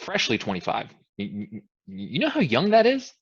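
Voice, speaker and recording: An actor playing a cocky, domineering young man who brags about his riches in a staged play reading, with a husky voice. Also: a little high, huge pitch swings, normal speed, lo-fi sound, a little hiss or hum. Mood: boastful. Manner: boastful, demanding. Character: cocky, domineering twenty-five-year-old man bragging of riches.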